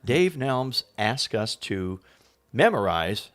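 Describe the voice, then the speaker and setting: A man's voice: medium pitch, big pitch swings, slow, fairly bright tone, dry recording. A man, a stage actor, chatting casually and anecdotally in an interview.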